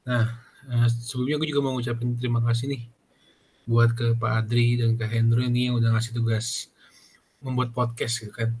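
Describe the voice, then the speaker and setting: A young man hosts a student podcast. His mid-pitched voice stays fairly monotone while he chats informally. There is light noise in the online recording. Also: a little fast. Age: young adult. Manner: informal, slangy, frequent "gitu kan" tags. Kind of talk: casual podcast chat.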